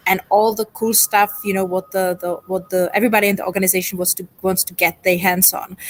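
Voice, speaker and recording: Even-pitched middle-aged Czech woman. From Czech Republic. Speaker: panelist, corporate executive. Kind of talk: conversational self-introduction. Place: remote video call on a low-bandwidth connection.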